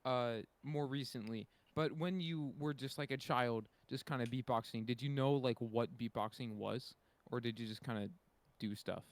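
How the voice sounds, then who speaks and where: A man's voice: medium pitch, moderate pace, dry recording. A man co-hosting a podcast, asking interview questions in an informal, conversational way. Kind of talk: casual interviewing.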